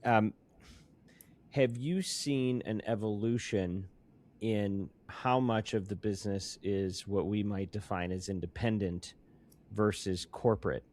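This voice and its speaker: A man hosting a conversational industry interview, speaking haltingly and repeating words as he works toward his questions. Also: lowish voice, steady tone, darkish tone. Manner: halting, stammering word repeats while forming questions. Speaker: podcast host.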